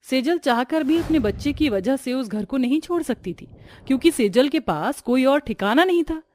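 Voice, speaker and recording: A woman's voice: high-pitched, lively intonation, moderate pace, a little mellow. A woman narrating a scripted cartoon story as a storyteller.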